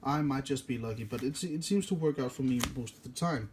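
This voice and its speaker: A man's voice: medium pitch, brisk, darkish tone, a little hiss or hum. A man with a Danish accent, a native Danish speaker, casually walking through a card game deck, rambling and correcting himself often. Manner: rambling, self-correcting, many fillers.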